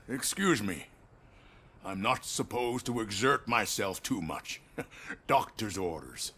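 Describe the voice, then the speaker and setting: Frail old tycoon, sharply bright voice. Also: male voice, mid-range voice, vocal fry, husky, full character voice. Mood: weary, courteous. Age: older. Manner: measured, formal, persuasive. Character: frail old male tycoon, polite, quietly menacing visionary. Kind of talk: dramatic video game dialogue.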